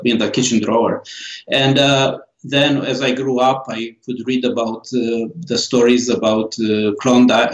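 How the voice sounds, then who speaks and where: A man in his forties from Romania with a Romanian accent, answering interview questions slowly with many pauses and fillers. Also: mid-range voice, roomy sound, rough recording. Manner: slow, halting, many fillers and restarts. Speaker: author and guest.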